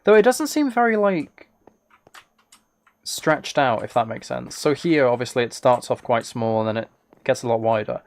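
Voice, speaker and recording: A man's voice: mid-range voice, animated, normal speed. A man streaming a video game, chatting casually and thinking aloud in hesitant fragments. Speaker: live streamer. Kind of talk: casual livestream chat while playing a video game.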